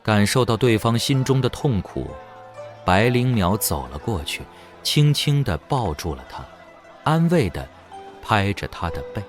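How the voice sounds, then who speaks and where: Male audio drama narrator, lowish register, animated intonation, light music underneath. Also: unhurried. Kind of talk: scripted dramatic story narration.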